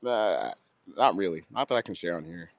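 A hoarse, gravelly man co-hosting a casual podcast. He speaks in a lowish register and stumbles over repeated words between chuckles. The recording is close and dry, with no room. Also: moderate pace, no echo.

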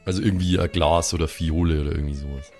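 A man's voice: rumbling low, steady tone, rapid-fire, darkish tone. A man improvising casually and slangily as a player in a tabletop roleplaying game. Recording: faint music behind the voice.

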